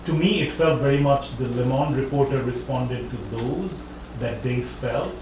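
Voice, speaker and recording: A man's voice: medium pitch, moderate pace. A man, an author, answering an audience question at an academic talk, thinking aloud and often correcting himself. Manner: thoughtful, hedging, self-correcting. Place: lecture room. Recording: echoey room, distant mic, background noise.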